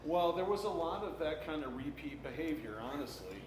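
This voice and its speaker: Middle-aged male panelist, craft brewer, halting and self-deprecating, echoey and noisy live recording. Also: on the high side, expressive. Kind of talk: casual panel talk. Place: indoor room at a live panel event. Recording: echoey room, clearly noisy live stream.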